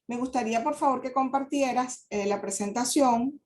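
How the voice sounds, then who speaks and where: A woman's voice: high voice, normal speed. Polite woman presenting in a webinar. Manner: polite, explanatory, hesitant fillers. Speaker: guest speaker, real estate advisor.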